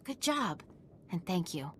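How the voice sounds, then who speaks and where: A woman's voice: lowish voice, sing-song, slow, a bit bright, slight background noise, exaggerated voice. An actress playing a stern, principled woman at a military outpost in a video game, speaking earnestly and formally. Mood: earnest, concerned.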